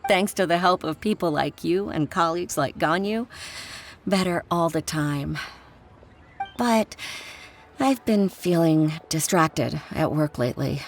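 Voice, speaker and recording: A woman voicing a young female official in a video game, soft-spoken and earnest. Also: on the low side, a little slow, a little hiss or hum. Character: young woman official, worried for her father. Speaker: game character.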